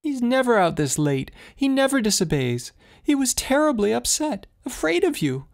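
A man's voice: very high voice, sing-song, moderate pace, vocal fry, pitched above the performer's own voice, exaggerated voice. A man voicing an anxious, distraught mother in an audiobook, with a moaning, breaking voice. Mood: anxious, upset.

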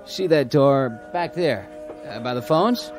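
An actor in a radio drama playing a brash, sly bartender with a slangy, casual way of talking. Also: male voice, a little high, animated, a little slow, music in the background. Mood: slyly helpful.

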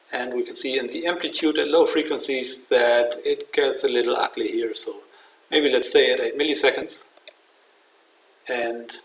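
Matter-of-fact man, creaky voice, distant mic. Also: mid-range voice. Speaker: software demonstrator. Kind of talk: unscripted software tutorial narration. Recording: roomy, distant mic, dull, faint noise.

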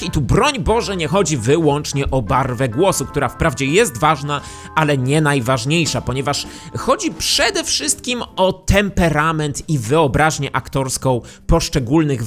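A man's voice: a little high, fairly bright tone. A man in his thirties giving explanatory commentary as a host, speaking close to a studio microphone. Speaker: YouTube host and voice actor. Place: small indoor home studio. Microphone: close studio microphone with pop filter. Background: background music.

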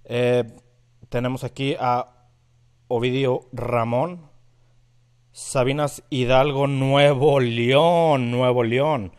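A man's voice: medium pitch, fairly even intonation, drawn-out words, pauses often, a little mellow, smooth. A Mexican man in his thirties with a northern Mexican accent, hosting a live mixing tutorial from his home studio and greeting viewers by name. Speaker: audio engineer, livestream host.

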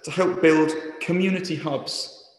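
A man's voice: on the high side, steady tone, a little slow. A man speaking to a church as a charity's guest speaker, giving an appeal talk. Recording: echoey church hall.

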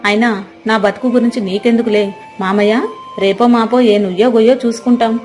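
A woman's voice: a little high, steady tone, brisk, a little mellow, faint music behind, close to an everyday voice. A woman playing a doting, plaintive, manipulative young married niece in a dramatized village moral story. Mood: plaintive, self-pitying. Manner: solicitous, plaintive.

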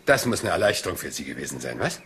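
A man's voice: sits low, big pitch swings, very fast, creaky, fairly bright tone, slightly rough, heavily acted. A man playing a rumpled, polite but shrewd police inspector in a German crime audio drama, hesitant and mumbling as if absent-minded. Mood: musing. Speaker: actor dubbing a police inspector.

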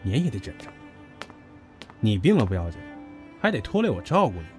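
An actor in an audio drama playing a brash, possessive younger businessman, a blunt, cocky and teasing lover who bickers gruffly. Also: on the low side, wildly swooping pitch, fairly quick, a little mellow, soft background music. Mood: scolding, gruff.

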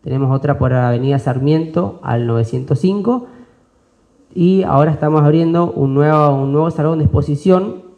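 A man's voice: on the high side, normal speed, warm tone. A man, a business owner speaking at a press conference with many fillers and restarts. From Argentina. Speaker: business owner presenting an expo. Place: indoor conference room. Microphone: desk mic on stand.

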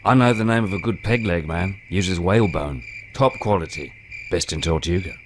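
A man's voice: sits low, normal speed, background noise, close to an everyday voice. A man voicing a glib, eccentric pirate captain in a video game, wry, flippant and theatrical. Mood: breezy. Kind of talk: video game cutscene acting.

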